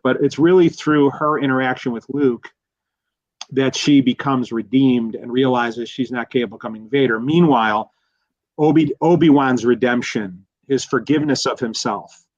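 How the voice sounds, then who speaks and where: Male guest expert, mid-pitched, analytical but casual, circles back on his words, muffled lo-fi sound. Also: no room sound. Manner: halting, repeats words while thinking aloud.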